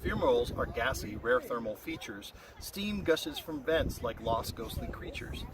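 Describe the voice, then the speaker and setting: A man reading a rhyming children's story aloud in an expressive, singsong way. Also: medium pitch, normal speed, a crowd in the background. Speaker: storybook reader. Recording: very noisy, windy, distant-mic recording.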